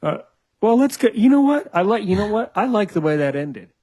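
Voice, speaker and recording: A man's voice: high-pitched, sing-song, brisk, darkish tone. A man hosting a podcast interview over a video call, joking and teasing his guest, with lots of restarts and "you know". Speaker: podcast host.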